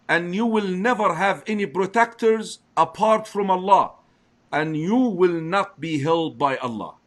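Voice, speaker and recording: A man from Egypt and an Arabic speaker, teaching as a sheikh in English. He explains slowly and recites Arabic verses. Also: sits high, fairly bright tone. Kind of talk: religious teaching discussion.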